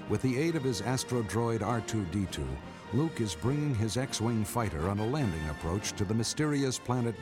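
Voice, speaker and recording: A man narrating a scripted radio drama in a measured, solemn manner. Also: fairly low pitch. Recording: music under the voice.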